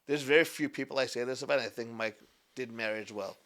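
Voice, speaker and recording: Young male business founder, podcast guest, earnest and rambling, average pitch. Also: fast talker, a bit bright. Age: 20s. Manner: earnest, rambling with many restarts. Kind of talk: casual podcast conversation.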